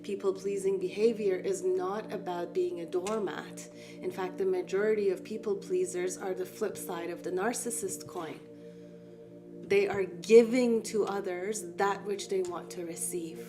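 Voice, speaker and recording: Woman, Farsi first language, calm reflective art-lesson instructor; middle pitch, music bed under the voice. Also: unhurried. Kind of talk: guided art lesson talk.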